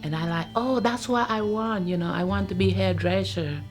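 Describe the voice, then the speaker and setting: A middle-aged woman who speaks English as a second language, talking earnestly in a halting way in a documentary interview. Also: medium pitch, music in the background. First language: not English. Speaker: interviewee, salon owner.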